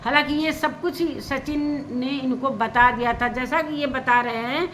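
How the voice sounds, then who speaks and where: A woman's voice: sits high, steady tone, measured pace, fairly bright tone, a little hiss or hum, rough recording. A woman vlogger giving casual, opinionated commentary, repeating her points for emphasis. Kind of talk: casual spoken commentary on social media gossip.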